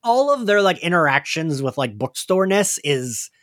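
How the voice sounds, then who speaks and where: Man, podcast co-host, casual recap chat, animated storytelling, very lively intonation, acted-out quotes. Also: on the high side, normal speed.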